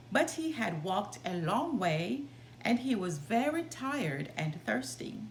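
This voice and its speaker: A woman reading a children's story aloud in an expressive, deliberate way. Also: medium pitch. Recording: roomy sound, background noise.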